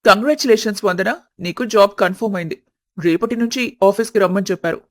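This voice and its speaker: A man playing a kind, devoted young friend in a family story, calm and supportive. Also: sits high, fast, no room sound, studio quality.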